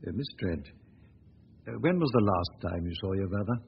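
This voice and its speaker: An actor playing a hesitant, flustered police chief who keeps saying "uh" and clearing his throat, in 1940s radio acting. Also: low-pitched, expressive, normal speed, slight background noise. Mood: measured. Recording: dull lo-fi 1940s radio recording.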